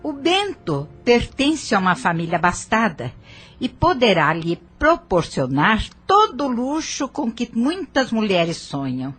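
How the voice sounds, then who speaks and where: A Brazilian woman playing a middle-aged aunt in an audio novel, placating yet firm, with a measured, coaxing delivery. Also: on the high side, big pitch swings, fairly bright tone, slightly rough, soft background music, exaggerated voice.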